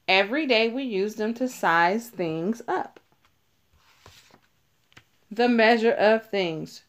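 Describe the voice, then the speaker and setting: A woman reading a children's picture book aloud, deliberately, stressing key words as she teaches. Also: mid-range voice. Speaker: read-aloud narrator.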